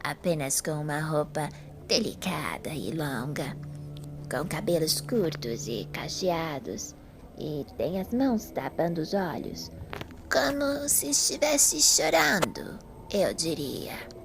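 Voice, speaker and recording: A woman's voice: sits low, sing-song, slow pace, over music, full character voice. A woman playing a frail, polite elderly lady in an audio drama, kind and bewildered. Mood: gentle, slow.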